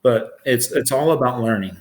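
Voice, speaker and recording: American male, 40s, mid-pitched, matter-of-fact supervisor talking informally about the job. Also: normal speed. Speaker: air traffic control supervisor. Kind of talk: informal interview explanation of own job.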